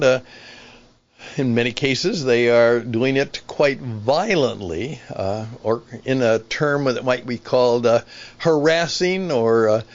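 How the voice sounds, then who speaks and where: An older man, over sixty, hosting a solo radio-style podcast as a veteran broadcaster, talking conversationally in deliberate, emphatic phrases and often rewording as he goes. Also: medium pitch, dull audio. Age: 60s or older.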